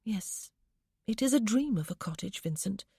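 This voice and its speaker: A woman voicing a gentle young wife in a storybook, speaking in a soft, breathy, heartfelt way. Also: medium pitch, animated, normal speed, no echo, studio quality, pitched above the performer's own voice, heavily acted. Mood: wistful.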